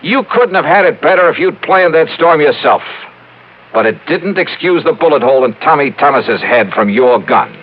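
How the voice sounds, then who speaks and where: Casting: assured, dry American private investigator for golden-age radio, animated mid-pitched voice, dulled broadcast sound. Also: male voice, moderate pace. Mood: accusing. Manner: clipped, sardonic, assured. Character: hard-boiled male insurance investigator, dry and sardonic. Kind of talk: 1950s radio detective drama and narration. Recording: muffled old radio broadcast, loud music.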